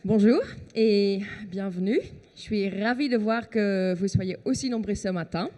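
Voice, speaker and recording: A woman's voice: medium pitch, unhurried, dark tone. A Dutch woman speaking French with a Dutch accent, giving a conference talk on a trade show stage and often correcting herself. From Netherlands. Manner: explanatory, with many fillers and self-corrections.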